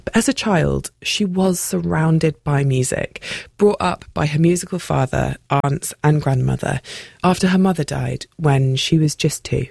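A female radio reporter narrating a feature in a calm, scripted voice. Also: low voice, unhurried, vocal fry, dry recording, clean studio sound.

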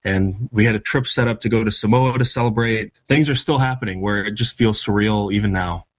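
An earnest American man in his thirties, his voice slightly husky and low-pitched. He answers interview questions reflectively and conversationally, with the odd restart as he thinks. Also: a little fast. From United States (Michigan). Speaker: interview guest, athlete.

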